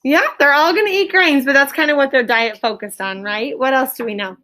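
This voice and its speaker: A woman's voice: sits high, a little fast, pushed and bright. A woman teaching an elementary class in a question-and-answer style, directing pupils and repeating their answers back to confirm them.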